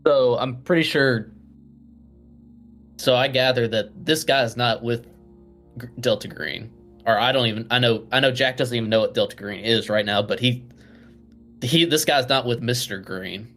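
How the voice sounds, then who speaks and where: A man playing a tabletop game, talking casually and haltingly as he thinks out loud. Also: medium pitch, faint music behind.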